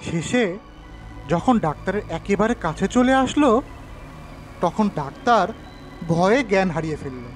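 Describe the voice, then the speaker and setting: Male storyteller for a ghost tale, high-pitched voice, dramatic narration over music. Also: expressive, measured pace, pauses often. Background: background music.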